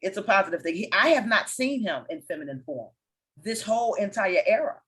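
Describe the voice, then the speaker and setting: A woman's voice: mid-range voice, lively intonation, fairly quick, a bit bright. A woman hosting a casual spiritual conversation, explaining ideas with confident, teacherly authority. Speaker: host of a spiritual discussion.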